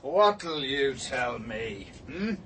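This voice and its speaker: An actor in a radio drama playing a cold, evasive father with a guarded, quietly menacing manner. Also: mid-range voice, very animated, drawn-out words, slight background noise, full character voice.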